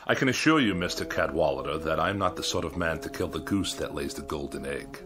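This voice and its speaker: An actor in a radio drama playing a boastful, excitable husband, theatrical and emphatic. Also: male voice, a bass voice, a little fast, over music. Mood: cocky. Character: boastful, excitable hypochondriac husband newly made immortal.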